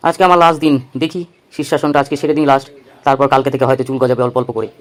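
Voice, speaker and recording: A man's voice: medium pitch, fairly even intonation, rapid-fire, close to an everyday voice. A man voicing a gullible, earnest bald buffoon in a comic cartoon. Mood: hopeful.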